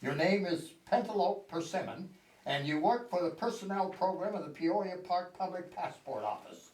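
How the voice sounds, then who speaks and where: A man's voice: high voice, lively intonation, normal speed, a little mellow. A man playing a wisecracking, hard-boiled police detective in an old-time radio spoof, with clipped patter. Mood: questioning, dry. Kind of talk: amateur old-time radio comedy acting on stage. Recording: echoey hall, distant mic.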